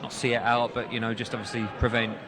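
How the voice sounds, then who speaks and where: A man's voice: medium pitch, completely flat delivery, fast. A man working as co-commentator, giving analysis of replays and the state of a football match. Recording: loud crowd noise behind commentary. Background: stadium crowd.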